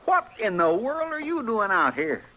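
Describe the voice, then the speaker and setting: An actor playing an excitable, grumbling but loyal frontier deputy with a folksy rural Western drawl in an old-time radio western. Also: male voice, high voice, moderate pace, heavily acted. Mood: indignant. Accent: rural American Western drawl. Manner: folksy, homespun, drawling complaint. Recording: noisy vintage radio broadcast.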